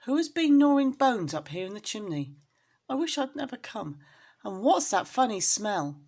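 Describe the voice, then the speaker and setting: A woman's voice: on the high side, sing-song, normal speed, darkish tone, pitched above the performer's own voice. A woman voicing a naive, worried little boy kitten in a storybook, speaking plaintively. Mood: puzzled, uneasy.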